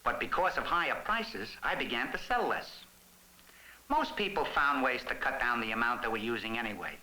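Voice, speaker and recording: An actor playing a gas station owner who narrates an educational film in a chatty, explanatory way. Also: male voice, mid-range voice, animated, normal speed, bright, forceful tone, low-quality recording. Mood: explanatory, animated. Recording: 1970s educational film soundtrack with music.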